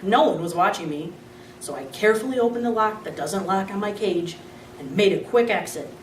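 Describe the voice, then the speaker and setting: A woman reading a children's chapter book aloud in an expressive storytelling manner. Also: medium pitch, a little fast. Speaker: reader. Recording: roomy, distant, faint hum.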